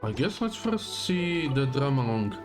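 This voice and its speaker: A man's voice: mid-range voice, big pitch swings, a little slow. Male streamer, casual reactions, offhand gameplay commentary, faint background music. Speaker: live game streamer. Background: faint game music.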